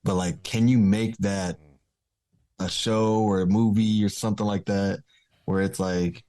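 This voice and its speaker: Podcast co-host, male, fairly low voice. Informal, conversational delivery among friends. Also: steady tone, unhurried, mellow. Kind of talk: casual chat among friends.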